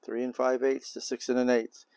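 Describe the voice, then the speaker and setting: A man's voice: moderate pace, gravelly, clean tone, dry recording. An older man hosting a beekeeping demonstration, talking casually and thinking aloud as he works on an outdoor patio. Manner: relaxed, thinking aloud, low-key. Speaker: beekeeping video host.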